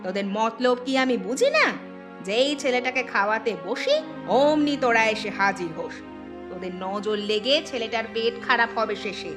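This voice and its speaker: A woman's voice: on the high side, normal speed, over music, lightly acted. A woman voicing a mean-tempered, scornful auntie and mother in a cartoon story, nagging sharply. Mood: angry scolding.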